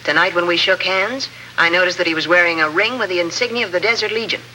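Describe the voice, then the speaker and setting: An actor playing a suspicious, coolly polite doctor in an old radio drama, with a measured, arch, formal manner. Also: male voice, high voice, steady tone, bright, forceful tone, a little hiss or hum, poor audio. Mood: cool suspicion. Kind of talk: 1950s radio drama acting.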